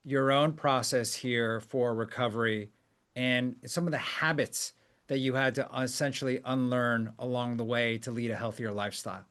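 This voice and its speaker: A middle-aged man hosts a podcast interview at a mid-range pitch. He asks his questions in a friendly, encouraging way and often backs his guest with short affirmations. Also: steady tone, moderate pace, a bit bright. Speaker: podcast host.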